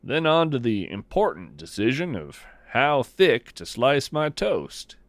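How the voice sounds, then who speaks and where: Male podcast narrator, folksy and poetic, unhurried delivery, very close dry sound. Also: medium pitch, sing-song, no echo. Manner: folksy, musing, poetic, deliberate phrasing. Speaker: podcast host. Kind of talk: scripted first-person narration in a fiction nature podcast.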